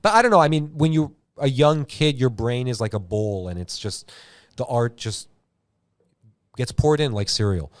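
A man's voice: medium pitch, moderate pace, no room sound. Slangy man rambling casually, dry close sound. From Iran. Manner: animated slangy storytelling with frequent "like" and swearing. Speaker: host of a YouTube show. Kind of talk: casual rambling movie chat.

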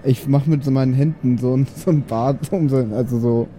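A man's voice: mid-range voice, fairly quick, dark tone, slight background noise. A man chatting casually as a player in an improvised tabletop role-playing game.

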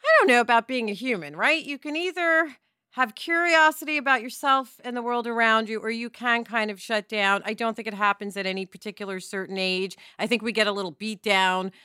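A woman chatting casually as a podcast panelist, candid, playful and expressive. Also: fairly high pitch, a little fast, clear, resonant tone, no echo, studio quality. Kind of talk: casual chat.

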